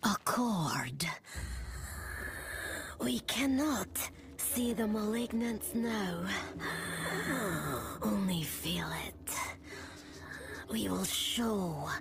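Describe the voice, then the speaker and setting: A woman voicing an eerie, grave fae forest spirit in a fantasy game, speaking in breathy whispers and solemn, halting phrases. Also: mid-range voice, animated, slight background noise, exaggerated voice. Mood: solemn, guarded.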